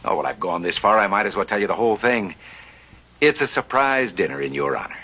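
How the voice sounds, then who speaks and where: A man's voice: on the low side, fairly quick, breathy edge, exaggerated voice. An American man playing a determined, scheming banker in a vintage television western, earnest and persuasive. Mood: slyly flattering. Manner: earnest, persuasive, slyly flattering. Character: determined, scheming male banker in the Old West.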